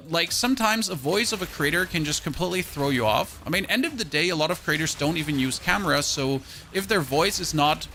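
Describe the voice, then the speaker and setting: A man's voice: bright, forceful tone, slight background noise. A man streaming a game and chatting casually with viewers, rambling and repeating words as he restarts his sentences. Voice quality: high-pitched voice. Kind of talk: casual gameplay chat with viewers.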